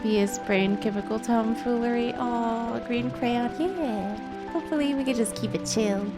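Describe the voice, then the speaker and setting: High-voiced, giggly woman livestreamer. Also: unhurried. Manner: giggly, chatty. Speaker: video game streamer. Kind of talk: casual livestream chat and gameplay reactions. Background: game music.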